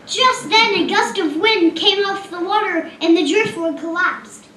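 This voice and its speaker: A woman's voice: very high-pitched, a little slow, pushed and bright. A female narrator reading a scripted children's story for a puppet show. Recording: echoey hall, distant mic, clear noise.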